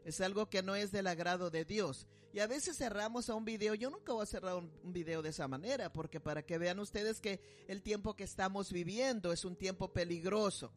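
A man's voice: high-pitched, a little fast, hardly any pauses, faint music behind. A man preaching and commenting on a video, emphatic and dropping amen between phrases.

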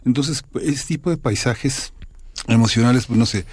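A man's voice: mid-range voice, moderate pace, a little mellow, slightly rough, no room sound. A male radio host asking long, reflective questions in an interview.

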